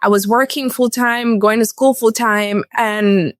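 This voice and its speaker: A woman's voice: fairly high pitch, fairly even intonation, a little slow, no echo, studio quality. A woman in her thirties from Cuba, a podcast guest telling her own story in a candid, casual way. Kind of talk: personal storytelling.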